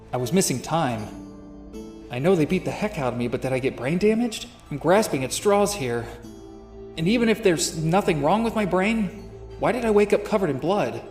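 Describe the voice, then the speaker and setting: A fretful man played by an actor with a somewhat high voice, dazed and unsure of his own mind. He tells his story in the first person, as in an audio drama, with music behind him. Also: darkish tone, lightly acted. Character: anxious, rattled man narrating his own memory loss.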